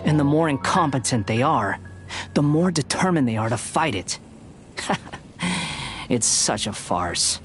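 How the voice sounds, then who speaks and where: A sly, sneering male villain from a video game, who taunts with grandiose theatrical flair. His voice sits at a middling pitch for a man, with music playing underneath. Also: lively intonation, a little slow, pauses often, a bit bright. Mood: mocking.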